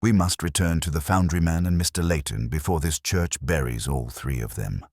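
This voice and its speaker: A man voicing a brilliant, grave detective in an audiobook, speaking deliberately and precisely. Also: extremely low pitch, a little mellow, husky, no room sound, clean studio sound, exaggerated voice. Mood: measured, urgent. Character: brilliant, grave male detective, coolly analytical. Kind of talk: audiobook character dialogue.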